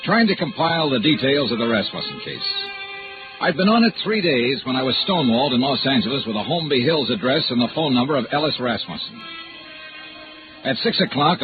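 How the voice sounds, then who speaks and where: Male actor as hard-boiled insurance investigator, clipped and wry, mid pitch, tinny old radio recording, music. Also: animated, brisk, close to an everyday voice. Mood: dry, narrating. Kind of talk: 1950s radio detective acting and narration.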